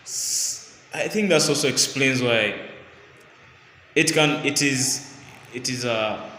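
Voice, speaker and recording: Man, bright voice, halting with many fillers, roomy reverb, faint background hiss. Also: medium pitch. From Uganda. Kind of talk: informal explanatory talk. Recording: echoey room with long reverb.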